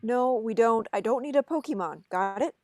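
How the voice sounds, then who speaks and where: A woman's voice: a little high, animated, normal speed, darkish tone, dry recording, close to an everyday voice. A woman playing a skeptical, exasperated young man in a script table read, with a blunt delivery. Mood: firm, frustrated.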